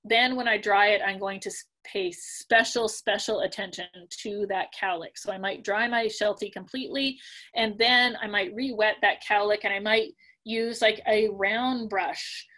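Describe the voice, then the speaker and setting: Woman teaching dog grooming, a little hoarse. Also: mid-range voice, fairly even intonation, normal speed, bright, forceful tone. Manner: chatty, many ums and likes, practical. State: losing her voice, clears throat. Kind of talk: casual webinar teaching, answering questions. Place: home room. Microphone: laptop webcam video call.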